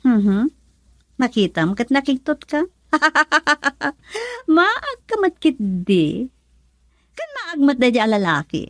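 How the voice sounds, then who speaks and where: A woman's voice: high voice, wildly swooping pitch, takes it slowly, a bit bright, dry recording, full character voice. Outspoken Filipino woman in dry close sound. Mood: nosy, provoking. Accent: Filipino (Ilocano). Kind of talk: Ilocano radio drama acting.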